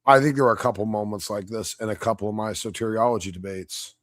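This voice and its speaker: A man giving casual livestream commentary on a debate, blunt, combative and profane, his voice slightly hoarse. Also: lowish voice, steady tone, quick pace, no room sound, clean studio sound. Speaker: debate reviewer streaming live.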